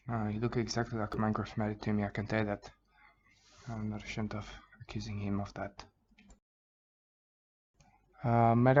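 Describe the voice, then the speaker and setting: Guy playing a hushed aussie bush bloke, low flat murmur, keeps saying mate, muffled recording. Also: slight background noise, close to an everyday voice. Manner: hushed, mumbling, repeats "mate" and "I can tell you". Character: rambling male Aussie bushman, soft-spoken, plotting revenge. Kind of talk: parody soothing wildlife narration. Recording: dull lo-fi recording.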